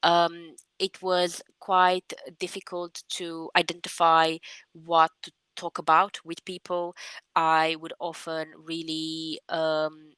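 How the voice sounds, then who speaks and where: A young woman from Romania, a native Romanian speaker, giving thoughtful, measured interview answers with frequent pauses and restarts. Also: low-pitched, completely flat delivery, a bit bright, smooth, no room sound. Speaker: guest.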